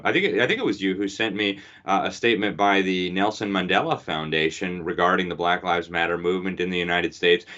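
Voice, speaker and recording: A man with a very deep, low voice hosts an interview, easing into long conversational questions. The sound is somewhat muffled and lo-fi. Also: a bit bright. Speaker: interviewer host.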